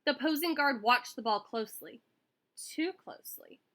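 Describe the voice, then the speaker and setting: A woman reading a children's picture book aloud in an expressive, animated way that acts out the story. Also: high voice, normal speed. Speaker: school staff member reading aloud.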